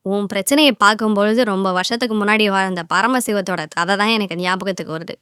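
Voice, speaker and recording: Woman acting bright, impatient young girlfriend. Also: medium pitch, fast, smooth, no echo, clean studio sound, lightly acted. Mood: explaining. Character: impatient young woman scolding and consoling her boyfriend. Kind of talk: animated moral-story dialogue.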